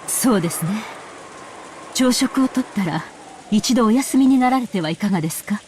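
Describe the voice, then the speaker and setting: Brisk, proper lady, played by an actress. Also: medium pitch, lively intonation, a little mellow, slight background noise, close to an everyday voice. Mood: composed. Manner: polite, composed, formal. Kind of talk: Japanese visual novel voice acting.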